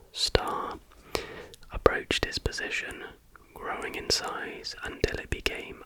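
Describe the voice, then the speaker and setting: A man speaks entirely in a breathy whisper, with no voiced tone at all. He narrates in an ASMR style, reading stories aloud like an online host. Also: pushed and bright, low-quality recording. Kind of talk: whispered ASMR storytelling, reading with casual asides.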